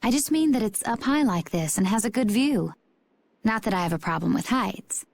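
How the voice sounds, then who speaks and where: A composed, enigmatic young warrior woman from a video game. Her medium-pitched voice is cool and understated. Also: expressive, normal speed, vocal fry, darkish tone. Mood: calm, guarded.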